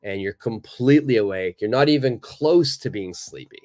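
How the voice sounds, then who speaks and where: A male native English speaker teaching a live online English lesson, answering viewers' questions in a relaxed, chatty way with lots of fillers. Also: fairly low pitch, normal speed. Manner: relaxed and conversational, many fillers, acts out little examples.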